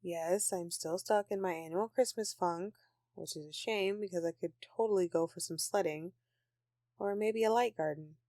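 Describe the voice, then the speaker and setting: A woman's voice: fairly low pitch, moderate pace, mellow, no echo, clean studio sound, lightly acted. A woman playing a grumpy but affectionate Christmas elf in an intimate audio roleplay, with a sarcastic, dramatic, sighing delivery. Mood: brooding, affectionate.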